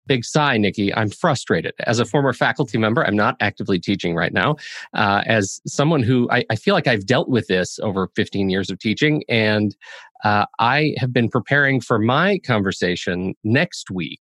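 A man hosting a podcast in a casual, chatty way, expressive and playfully dramatic in his emphasis. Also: fairly low pitch, normal speed, no echo. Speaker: podcast host.

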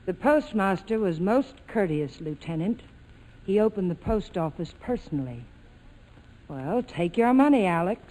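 A woman's voice: mid-range voice, expressive, measured pace, dark tone, lightly acted. A woman playing a determined, bitter young heroine in a 1940s radio drama, controlled and dramatic. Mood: cold, controlled. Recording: faintly noisy vintage radio broadcast.

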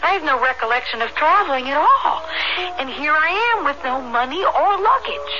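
High-voiced, frightened young American woman. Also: animated, lo-fi sound. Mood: confused, distressed. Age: young adult. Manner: halting, anxious, pleading. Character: frightened, bewildered young woman, lost and earnest. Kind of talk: 1970s radio drama acting. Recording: band-limited 1970s radio recording, music behind.

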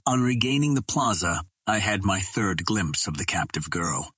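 A man reading a literary adventure novel aloud as an audiobook narrator, in a measured, formal storytelling manner. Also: sits low, a bit bright, slightly rough, dry recording, clean studio sound. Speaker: audiobook reader.

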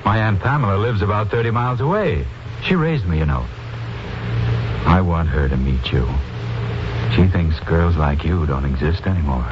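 Deep-voiced, smitten American suitor. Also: male voice, expressive, normal speed, background noise. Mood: warm, eager. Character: smitten, earnest American professor, courting gently. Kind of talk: 1940s radio acting. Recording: muffled 1940s radio, music behind.

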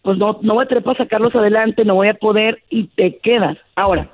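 A woman speaks as a psychologist guest, laying out her advice in a steady, explanatory way. Her voice is mid-pitched and comes through a narrow, phone-like line. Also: brisk. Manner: explanatory, steady, asks "¿me explico?". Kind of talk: advice talk on radio.

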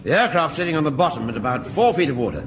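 A courteous, hapless middle-aged English sergeant from a radio comedy, diffident and lilting. The old recording is very noisy. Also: male voice, a little high, very animated, very fast. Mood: mildly apologetic. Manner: diffident, courteous, gently protesting. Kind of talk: radio sitcom acting.

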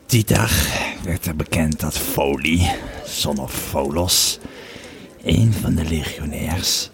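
A man playing a grieving Roman legionary who tells his memories haltingly and reflectively in an audio drama. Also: a bass voice, exaggerated voice. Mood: somber. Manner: halting, reflective storytelling with searching pauses. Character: grieving, fearful Roman legionary recalling his army days. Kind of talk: audio drama first-person narration.

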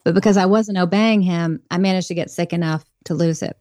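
A woman's voice: on the low side, fairly even intonation, brisk, a little mellow, no room sound. A woman guest on a podcast interview, talking earnestly and reflectively about faith and leadership. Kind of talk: conversational interview.

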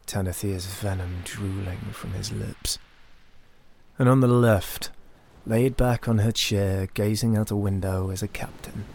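A man's voice: fairly low pitch, fairly even intonation, measured pace, vocal fry, darkish tone. An actor playing a cunning, disgraced young noble, narrating his own fantasy tale with sardonic, literary bitterness. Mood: wry, brooding. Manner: theatrical, literary, sardonic storytelling. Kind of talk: first-person narration in a high fantasy audio drama.

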